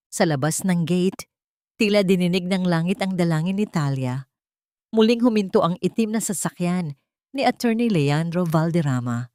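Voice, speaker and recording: A woman's voice: low-pitched, mellow, no room sound, clean studio sound. A woman with a Filipino accent reading a dramatic scripted audiobook story as its narrator.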